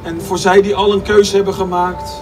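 A man with a high voice interprets a sermon phrase by phrase, heard faintly through an outdoor loudspeaker from a distance. Clear music sits behind the speech. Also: little pitch movement, moderate pace. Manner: restating each line, some restarts. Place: outdoor square by a stage.

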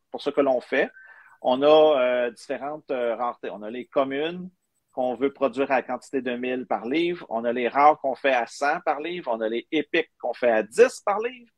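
A man's voice: medium pitch, no echo. A middle-aged man with a Quebec French accent, explaining things informally as a guest in a live video-call interview. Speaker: guest, maker of digital book bookmarks. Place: indoor room, on a video call. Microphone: webcam video call.

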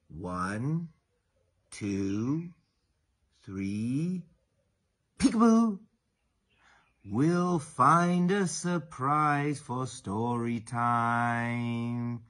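Slow, expressive man at children's story time. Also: fairly high pitch. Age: middle-aged. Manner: deliberate, playful, expressive. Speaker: teacher reading stories to children.

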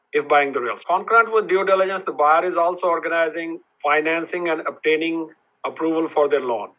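A man's voice: a little high, bright, forceful tone, rough recording. A middle-aged man, a business broker, reading a scripted explainer in a formal, instructive way from a home office. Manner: reads steadily through lists, formal and instructive. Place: home office with bookshelves, indoors.

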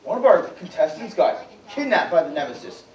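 A man plays a game show host on a church stage, his voice lively and swinging up and down. He sounds far from the mic in a roomy hall. Soft music sits underneath. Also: high voice, a little fast. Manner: announcer-like, lively. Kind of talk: live stage skit hosting. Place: church hall stage before a children's audience.

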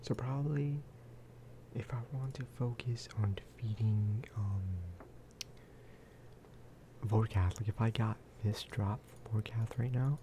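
A soft-spoken man hosts a gaming ASMR video in a low, flat voice. He rambles casually and often drops into a whisper. Clear background noise and clicking sit behind him. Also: a little slow.

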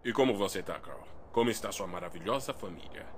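A man's voice: on the low side, lively intonation, fast, a little hiss or hum, natural voice. A man voicing a menacing middle-aged corrupt policeman in a Brazilian game dub, mocking and condescending. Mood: smug menace. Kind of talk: fan dub game acting.

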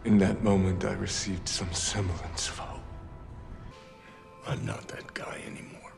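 A grown man acting in film scenes as a grim, restrained figure who speaks low and intensely, often close to a whisper. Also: flat delivery, a little slow, darkish tone, soft background music. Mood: brooding. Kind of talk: film dialogue. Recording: film soundtrack with clear background noise.

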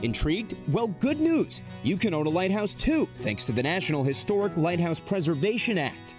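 A young man narrates a news feature in an upbeat, scripted way, his voice a little high and full of rises and falls. Music plays clearly under his words. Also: very animated, moderate pace. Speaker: news correspondent.

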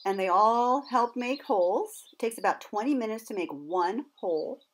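A woman's voice: fairly high pitch, expressive, normal speed, slight background noise. A middle-aged woman presenting as a nature educator, explaining things to young children in simple words with questions to her listeners.

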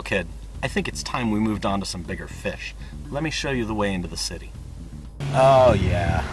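A man's voice: mid-range voice, noisy background, close to an everyday voice. A middle-aged man playing a hearty, rule-breaking mentor to a kid in a comedy game cutscene. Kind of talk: comedic live-action game cutscene acting.

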